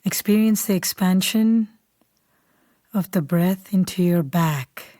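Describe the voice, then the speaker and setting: A woman leading a guided meditation in a calm, unhurried, soothing way, softly and at times almost whispering. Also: on the low side, no echo, clean studio sound. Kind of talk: guided meditation, slow scripted instruction.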